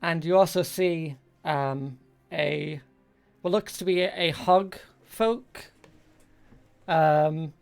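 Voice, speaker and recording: A game master narrates a tabletop role-playing scene off the cuff. The voice is middle in pitch and creaky, moving slowly and haltingly while searching for words, with soft music underneath.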